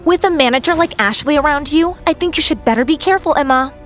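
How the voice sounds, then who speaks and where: A woman's voice: sits high, fast talker, soft background music, natural voice. A woman playing an arrogant, spiteful young model in an audio drama, haughty and taunting. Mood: smug, taunting.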